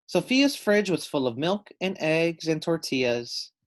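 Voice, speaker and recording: Slow, very expressive male storyteller. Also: on the high side. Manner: deliberate phrasing, teacherly. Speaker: read-aloud storyteller. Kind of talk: expressive children's picture-book narration.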